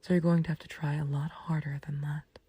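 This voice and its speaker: A woman playing a calm, taunting villain in an intimate roleplay audio, speaking in a breathy near-whisper with unhurried condescension and mock sympathy. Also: low voice, dry recording. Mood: mocking disdain. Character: calm, taunting female villain, menacing yet fond of a friend. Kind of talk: scripted roleplay audio acting, intimate ASMR.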